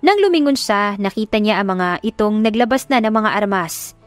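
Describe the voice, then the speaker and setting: A woman's voice: medium pitch, expressive, moderate pace, no echo, soft background music. A woman reading a dramatic scripted love story as an audiobook narrator.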